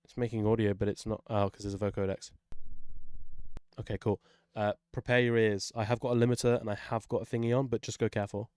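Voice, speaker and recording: Low, dry, mellow-voiced man thinking aloud. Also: level tone, fast, clean tone, dry recording. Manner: self-deprecating, sweary, informal. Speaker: music software tinkerer, session host. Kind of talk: casual think-aloud screen session.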